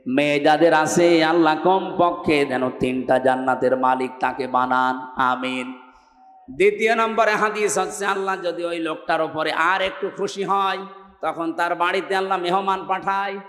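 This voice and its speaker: Bangladeshi guy giving an islamic sermon, really emphatic, highish voice, long reverb off the loudspeakers. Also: normal speed. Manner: emphatic, exhorting, swinging between raised cries and hushed lines. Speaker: Islamic preacher. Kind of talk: sermon to a public gathering, with chanted scripture recitation. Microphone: public-address microphone.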